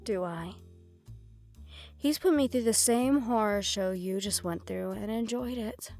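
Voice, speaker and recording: A woman's voice: mid-range voice, unhurried, a little mellow, faint music behind, lightly acted. A woman voicing a composed, condescending female mafia underboss in an audio roleplay, mock-polite and coolly threatening. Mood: taunting.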